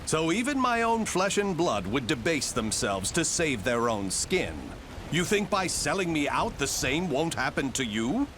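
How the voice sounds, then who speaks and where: A man's voice: a little high, very animated, unhurried, noisy background. An actor playing a proud, embittered fugitive nobleman in a video game, with formal, theatrical, brooding diction. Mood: bitter, indignant. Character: proud, embittered fugitive French nobleman swordsman, weary and betrayed. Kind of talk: dramatic video game acting. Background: music.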